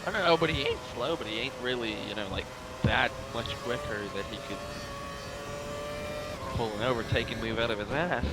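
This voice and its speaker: A man co-commentating a racing game, excitable and slightly nasal from being ill. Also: mid-range voice, a little fast. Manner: excitable, exclaims at incidents. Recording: commentary over game engine noise.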